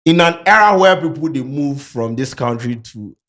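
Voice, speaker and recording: A man with a Ghanaian English accent who mixes in Pidgin, hosting a casual studio interview in a lively, playful way. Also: mid-range voice, moderate pace. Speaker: podcast host.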